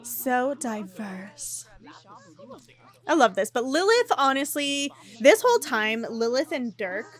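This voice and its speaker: A woman casually commenting on her gameplay, chatty and animated, with frequent ums and laughs, her voice slightly hoarse. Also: sits high, takes it slowly. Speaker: gaming YouTuber.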